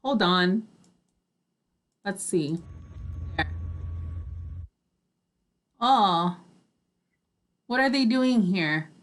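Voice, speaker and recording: A woman's voice: mid-range voice, animated, drawn-out words, pauses often. A woman co-hosting a radio show, chatting casually and conversationally. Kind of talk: casual talk show chat.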